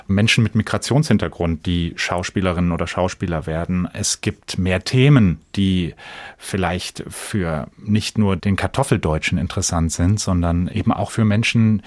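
A man's voice: deep voice, no echo. A man answering as an actor in a conversational radio interview, earnest and reflective, in broken-up phrases. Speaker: stage actor, interview guest.

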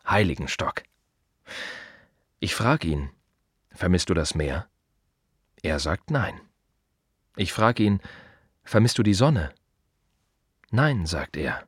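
Deep-voiced, wry working-class gravedigger. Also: male voice, big pitch swings, slow pace, pauses often, husky, no room sound, clean studio sound, heavily acted. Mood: matter-of-fact, wry. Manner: short clipped phrases, repetitive, conversational, wry. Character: plain-spoken working-class male gravedigger, wry and reflective. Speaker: actor in a radio play monologue. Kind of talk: reflective radio play monologue, told to the listener.

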